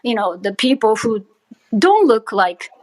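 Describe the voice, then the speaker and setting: Woman with a Japanese accent, slightly high voice. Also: big pitch swings. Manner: halting, heavy on "you know" fillers. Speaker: interviewer. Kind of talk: casual interview questions.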